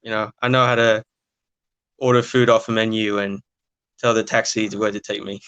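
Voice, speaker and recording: Hesitant nineteen-year-old guy, crisp dry voice. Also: fairly low pitch, level tone, moderate pace, fairly bright tone, no room sound. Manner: hesitant, many filler sounds. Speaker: podcast guest, young footballer. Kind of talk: casual interview answers.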